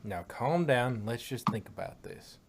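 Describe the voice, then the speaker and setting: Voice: medium pitch, lively intonation, moderate pace, gravelly, breathy edge. Someone playing a folksy Southern cowgirl pony. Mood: firm, calming. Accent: Southern US drawl. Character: plain-spoken, steady female cowgirl farm pony.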